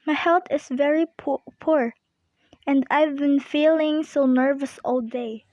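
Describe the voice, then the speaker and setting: A woman's voice: sits high, steady tone, a little slow, darkish tone, dry recording, lo-fi sound. A woman in an amateur radio play acting an anxious, demanding invalid wife, fretful and imperious, stammering at times.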